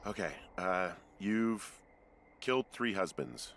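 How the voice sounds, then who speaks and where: A man's voice: deep voice, animated, takes it slowly, creaky, fairly bright tone, a little hiss or hum, full character voice. An actor playing a weary, sarcastic everyman rookie fire lookout in a video game, speaking in a dry, reluctant, casual way. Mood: tired, sarcastic. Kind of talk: naturalistic video game dialogue.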